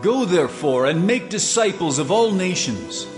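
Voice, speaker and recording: A majestic, gentle Messiah proclaims with exalted authority in a biblical audio drama. His fairly high voice carries long reverb over clear music. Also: sing-song, moderate pace.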